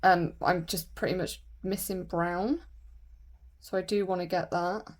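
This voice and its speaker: A woman with a croaky voice from a sore throat, chatting casually and rambling with lots of fillers while doing her nails. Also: fairly low pitch, fairly even intonation, unhurried. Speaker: nail vlogger.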